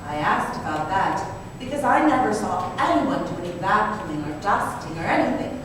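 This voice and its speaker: A woman's voice: mid-range voice, very animated, moderate pace, lightly acted. A woman playing a naive, anxious, wealthy young wife who confides hesitantly in an old-time radio drama. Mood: puzzled, earnest. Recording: noisy, echoey hall from far off.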